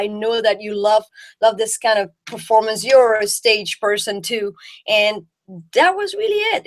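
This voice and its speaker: A woman's voice: fairly high pitch, fairly bright tone. A woman from Belgium, a jazz singer and guest, chatting with enthusiasm and often restarting her words. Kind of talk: casual chat.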